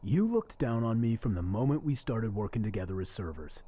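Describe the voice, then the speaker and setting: Man playing a sarcastic, animated slacker, slightly muffled. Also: fairly low pitch, fairly quick, husky. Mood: bitter, agitated. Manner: sarcastic, needling. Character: crude, bitter restaurant server facing execution, secretly lonely. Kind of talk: radio play acting.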